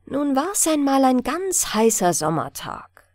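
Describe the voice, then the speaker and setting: A woman reading a bedtime fairy tale in a soothing, expressive storytelling manner. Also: high-pitched, a little slow, no room sound, studio quality. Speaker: audiobook narrator.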